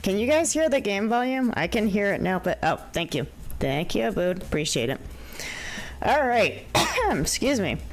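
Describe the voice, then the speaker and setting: A hoarse woman streaming a game, chatting casually with her viewers into a desk microphone. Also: medium pitch, very animated, moderate pace, soft background music. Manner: chatty, talks to viewers, frequent ums and laughs. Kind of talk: casual livestream commentary.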